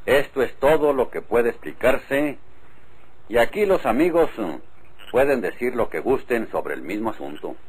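A husky-voiced Mexican ranch spokesman in an old radio serial. He is authoritative and conciliatory, with a lively, swooping delivery. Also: medium pitch, moderate pace, rough recording. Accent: northern Mexican. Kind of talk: old-time radio drama acting.

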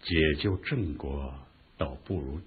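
An actor playing a shrewd, measured chief minister of ancient China, speaking deliberately and huskily in a radio drama. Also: male voice, rumbling low, full character voice. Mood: calculating. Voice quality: husky. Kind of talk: historical radio drama acting. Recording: dull, lo-fi radio drama recording.

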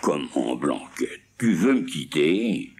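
A man's voice: very deep voice, sing-song, slow pace, darkish tone, slightly rough, exaggerated voice. A man voicing an anxious older farmer, fussy and fond of his goat, exclaiming and fretful. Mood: pleading.

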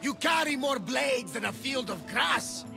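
An exuberant old Greek sailor in a game cutscene. His gravelly voice rides high and swings widely, and he takes his time with a jovial delivery. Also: expressive, unhurried, over music, exaggerated voice. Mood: boisterous, teasing. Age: older. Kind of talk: video game cutscene acting.

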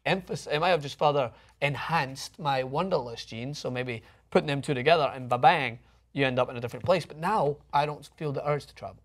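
A man's voice: medium pitch, brisk. A young man with a Scottish accent giving his opinions casually as co-host of a studio talk show. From Scotland. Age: young adult. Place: TV-style studio, indoors.

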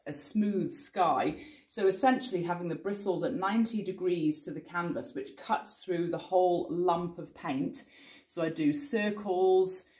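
A woman in her forties with a slightly low voice teaches painting from her studio easel. She explains each step patiently and encourages the viewer, thinking aloud as she works. The room is bare and gives her voice a noticeable echo. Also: darkish tone. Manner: explanatory, reassuring, thinking aloud while working. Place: indoor studio in front of a cloth backdrop.

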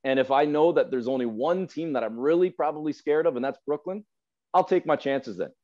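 A man's voice: fairly high pitch, a little fast, a little mellow. A man in his forties giving opinionated sports talk as a podcast guest, emphatic and fond of repeating phrases for effect. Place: indoor room at home, video call. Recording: slightly dull video-call audio.

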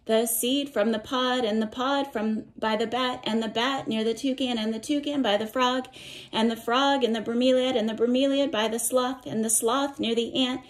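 A woman reading a picture book aloud to young children, with sing-song verse and simple explanations and questions. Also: fairly high pitch. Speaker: read-aloud storyteller for children.

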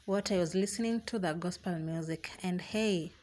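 A woman's voice: on the low side, normal speed. A young woman with a Kenyan accent talking casually to her viewers in a home vlog, slipping Swahili phrases into her English. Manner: chatty and warm, mixing in Swahili phrases. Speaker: vlogger.

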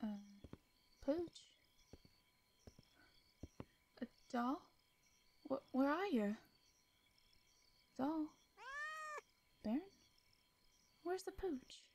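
A woman playing a caring, protective young female farmer in a roleplay audio drama, with a Southern US accent and a soft, hesitant, folksy way of talking. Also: high-pitched, lively intonation, drawn-out words, long pauses, slight background noise, heavily acted. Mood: searching, worried.

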